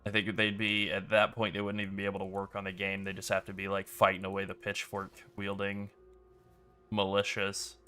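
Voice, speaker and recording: Bright, clear-voiced man streaming a game. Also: low voice, brisk. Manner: relaxed, rambling, many "like" fillers. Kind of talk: casual gameplay commentary.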